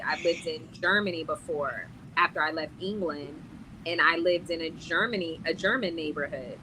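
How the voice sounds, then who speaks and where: A woman's voice: deep voice, bright, forceful tone, slight background noise, poor audio. A woman hosting a livestream panel, chatting bluntly and casually with slangy speech. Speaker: livestream host.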